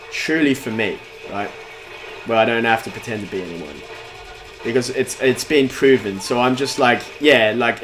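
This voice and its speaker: A man's voice: medium pitch, a bit bright. Young-sounding chatty male streamer. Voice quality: voice that sounds younger than his age. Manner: rambling, talkative, answers chat messages. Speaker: game streamer. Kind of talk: casual chat while gaming live. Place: at home in front of a big TV.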